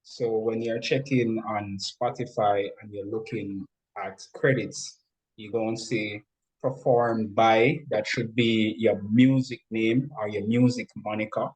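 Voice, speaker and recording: Male interview guest, unhurried explainer, slightly deep register, measured and instructive delivery. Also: fairly even intonation, darkish tone, slightly rough, poor audio. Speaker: interview guest, music industry expert.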